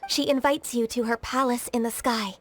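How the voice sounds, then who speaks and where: An actress playing a dutiful young secretary and emissary in a game, formal, courteous and earnest. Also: female voice, a little high, steady tone, moderate pace, slight background noise, lightly acted. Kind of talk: English game dub cutscene acting.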